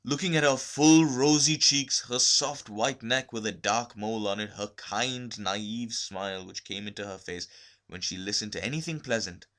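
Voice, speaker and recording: Bright-voiced man reading a literary story. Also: lowish voice, normal speed. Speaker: story reader. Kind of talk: expressive literary narration.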